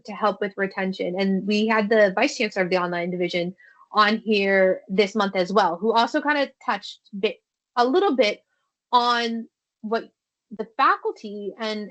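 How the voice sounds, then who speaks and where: A woman hosting a podcast, asking her guest questions in a loose, conversational way. Her phrasing is relaxed and informal, and she leans into casual chat. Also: medium pitch, lo-fi sound. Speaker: podcast host.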